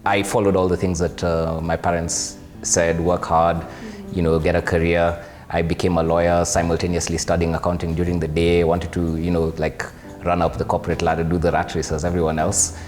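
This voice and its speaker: Flat-toned deep man. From Kenya. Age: 30s. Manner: articulate storyteller, measured. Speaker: animator and storyteller. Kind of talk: interview testimonial. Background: background music.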